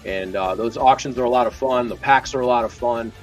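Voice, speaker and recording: A man's voice: medium pitch, brisk, soft background music, low-quality recording. A man co-hosting a sports live stream in a casual, conversational way.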